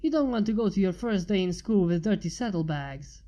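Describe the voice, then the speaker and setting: A woman voicing a kindly middle-aged female pony baker in a storybook, fond and gently instructing. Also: mid-range voice, expressive, fairly quick, muffled recording, natural voice. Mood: fond, motherly.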